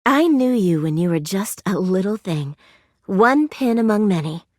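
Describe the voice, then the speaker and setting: A woman's voice: medium pitch, lively intonation, measured pace, darkish tone, no echo, clean studio sound. A woman voicing a doting, motherly family friend in a video game, cooing and fond. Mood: tender, gently chiding. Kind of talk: video game character acting.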